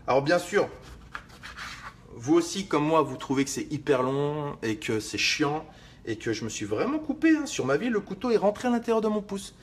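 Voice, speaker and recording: A young man chats to his livestream viewers in a joking, rambling way, swearing casually as he shows how he cuts lemons. His voice sits a little high for a man. Faint clicking and noise sit behind him. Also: sing-song, moderate pace. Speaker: fitness livestream host. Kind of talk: casual live cooking demo.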